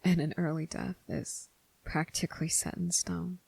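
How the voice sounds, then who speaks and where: Casting: male actor as gentle, tormented young vampire man for ASMR romance drama; heavy fry, husky, hesitant delivery. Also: on the high side, fairly even intonation. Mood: resigned, tender. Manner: hesitant, halting, breathy, hushed. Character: anguished gentle young vampire man confessing secret love.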